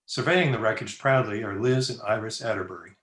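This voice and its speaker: A man's voice: on the low side, fairly quick, fairly bright tone. A man narrating an old-time radio comedy from a script, recorded at home in a roomy space.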